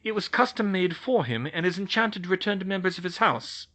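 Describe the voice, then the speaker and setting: A man's voice: high voice, lively intonation, a little fast, pushed and bright, voice pushed up. A man voicing a stern older female headmistress who speaks icily and formally. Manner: crisp, icy.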